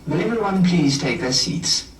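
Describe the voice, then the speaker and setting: A man's voice: high-pitched, lively intonation, moderate pace. A man playing a coldly reassuring official who gives sinister orders in a formal, authoritative public announcement. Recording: distant echoey announcement with faint noise.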